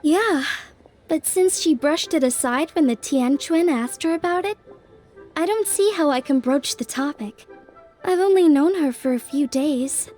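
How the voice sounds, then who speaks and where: An earnest young mystic in a fantasy video game, with a high voice. She speaks politely and with care, thoughtful and a little hesitant, as if weighing each point. Soft music plays behind her. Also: a little slow. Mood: reluctant. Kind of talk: video game voice acting, conversational storytelling.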